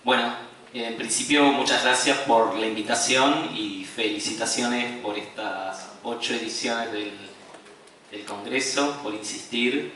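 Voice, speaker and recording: A man from Patagonia with an Argentine accent gives a conference presentation in a halting manner, searching for his words. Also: lowish voice, bright, forceful tone. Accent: Argentine Spanish. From Patagonia, southern Argentina. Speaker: playwright and director giving a conference talk. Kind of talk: conference presentation, semi-spontaneous. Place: echoey room at a conference. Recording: very echoey hall, distant mic, faint noise.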